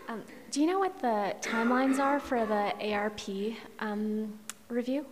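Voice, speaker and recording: Brief: slow, lively woman commissioner, high voice, echoing room with noise. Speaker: planning commission member. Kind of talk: meeting question. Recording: off-mic in reverberant room, audible noise.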